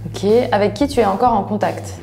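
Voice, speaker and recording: A woman's voice: medium pitch, a little fast, vocal fry, dark tone, faint music behind. A woman asking casual questions as an interviewer. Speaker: off-screen interviewer.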